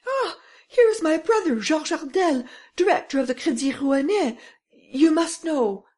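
A woman voicing an excitable, dramatic French lady who exclaims breathlessly. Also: sits high, moderate pace, voice pushed up. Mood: frantic.

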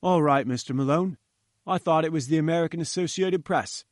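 Man doing rough rustic gatekeeper, raised pitch, dry close sound. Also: fairly quick, no echo, lightly acted. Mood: wary, gruff. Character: huge gruff male gatekeeper.

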